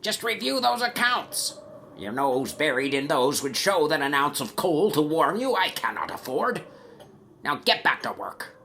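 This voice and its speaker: Man playing grouchy older miser, curt, snarling, sharp forceful tone, wildly swinging pitch, rhyming storybook villain. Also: very animated, moderate pace, vocal fry, full character voice. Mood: stingy, irritated.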